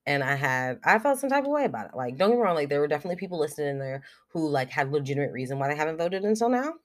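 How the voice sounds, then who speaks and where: A young podcast host talks alone into the mic, blunt and unfiltered, with loose fillers and the odd swear word. A cold and a cough drop in the mouth thicken the voice a little. The pitch sits in a middle range. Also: big pitch swings, very fast. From United States. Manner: frank and blunt, swears freely, many fillers like "like" and "um". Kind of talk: casual solo podcast monologue.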